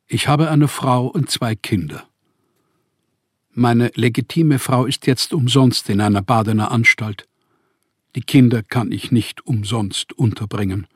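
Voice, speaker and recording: Slow, husky, despairing man. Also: medium pitch, dry recording, clean studio sound. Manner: weary, heavy, deliberate literary diction. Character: despairing, exhausted, ailing male writer in exile. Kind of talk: radio play letter reading.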